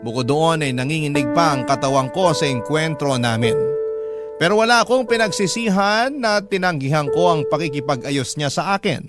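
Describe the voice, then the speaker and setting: High-voiced male radio storyteller over loud music. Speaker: radio host and story narrator. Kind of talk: dramatic reading of a listener's letter. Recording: prominent music under the voice. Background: background music.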